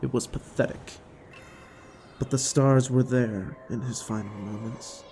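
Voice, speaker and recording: A man's voice: mid-range voice, steady tone, unhurried, dark tone, slight background noise. A man reading scripted science fiction drama narration in a dramatic storytelling style. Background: music.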